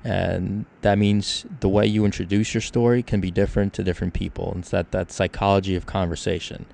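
A man's voice: deep voice, flat delivery, a little fast, creaky, darkish tone. A man from Ukraine hosting a casual discussion, talking things through with examples. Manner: conversational, explains with examples. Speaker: podcast host.

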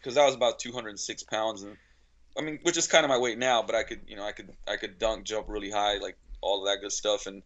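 A man's voice: fairly low pitch, fairly even intonation, quick pace, a bit bright. Slovenian man chatting, dull lo-fi sound. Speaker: podcast guest, strength coach and gym owner. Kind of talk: casual conversational storytelling.

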